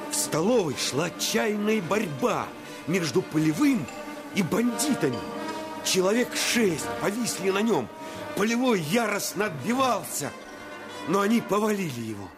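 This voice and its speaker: A man narrating a boyhood adventure in the first person for a radio play, in a measured, literary storytelling manner. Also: a little high. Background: music.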